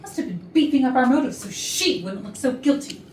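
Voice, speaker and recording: A woman playing a blunt, dramatic, excitable office worker in a staged murder mystery comedy. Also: high voice, fairly quick. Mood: accusing. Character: feisty, outspoken, excitable female office worker. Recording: echoey, noisy room, far from mic.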